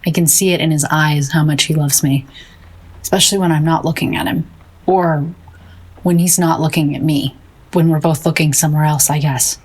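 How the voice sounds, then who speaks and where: An actress playing a self-doubting, guilt-ridden woman painter in an audio drama, speaking tersely in a husky voice. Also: low-pitched, fairly even intonation, moderate pace, a little mellow. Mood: despairing.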